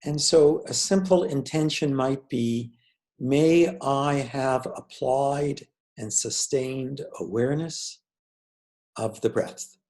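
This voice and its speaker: A man leading a guided meditation as a teacher, speaking in a measured, deliberate way with pauses between phrases. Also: medium pitch, darkish tone.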